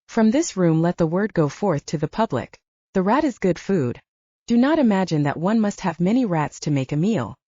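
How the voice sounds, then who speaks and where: A woman's voice: on the low side, sing-song, moderate pace, warm tone, no room sound. A woman voicing a grandiose, effusive male scientist and gourmet in an audiobook, with a pompous, oratorical manner. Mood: pompous, enthusiastic. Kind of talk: audiobook character voice. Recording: dull, muffled dry audio.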